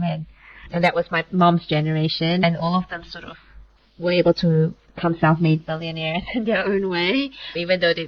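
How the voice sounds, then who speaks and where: Woman, 30s, from Malaysia, founder guest; lowish voice, chatty with filler asides, slightly dull recording. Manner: chatty, quick asides with frequent "like" and "kinda". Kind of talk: casual interview talk.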